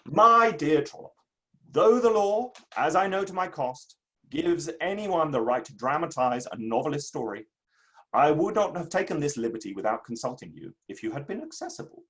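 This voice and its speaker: A man's voice: a little high, expressive, moderate pace, fairly bright tone, poor audio. A man in a dramatic play reading, playing a proud, aggrieved Victorian writer with a formal, emphatic delivery. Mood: formal, self-satisfied.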